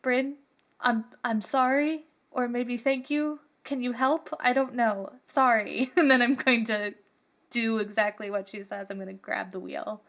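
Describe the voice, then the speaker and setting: A woman's voice: a little high, fairly even intonation, measured pace, fairly bright tone. A woman improvising as a player in a tabletop roleplaying game, casual, earnest and hesitant in how she talks.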